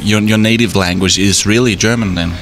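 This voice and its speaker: A man's voice: lowish voice, fairly even intonation, a little fast, gravelly, fairly bright tone, slight background noise. A man interviewing a hotel worker in a hot hotel bar, asking curious, lightly teasing questions. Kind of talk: casual interview.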